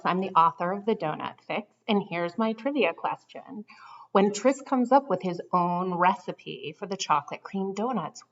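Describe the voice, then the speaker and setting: A woman's voice: mid-range voice, a bit bright. A woman with a mid-range voice poses a book trivia question as an author. The recording is a bit muffled and lo-fi. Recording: slightly dull, lo-fi sound.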